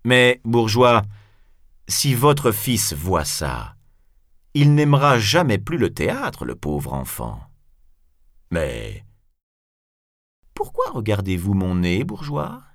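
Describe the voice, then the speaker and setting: A man's voice: on the low side, huge pitch swings, slow, long pauses, no echo, studio quality, full character voice. A man voicing a proud, bold, mocking swordsman in a grandiloquent storybook style. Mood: mock concern, challenging. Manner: grandiloquent, taunting.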